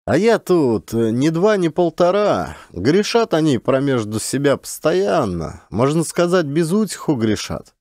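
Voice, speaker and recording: Audiobook part: blunt rustic idler, acted by a man, folksy grumbler with very lively intonation. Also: fairly high pitch, measured pace, few pauses, no room sound, clean studio sound, voice pushed up. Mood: scornful, animated. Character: beardless round-faced peasant man, brash idle forest-post teaser.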